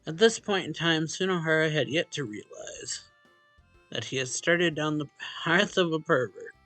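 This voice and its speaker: Bright-voiced man reading a visual novel aloud. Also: fairly high pitch, normal speed, dry recording, soft background music, rough recording. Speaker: let's play host.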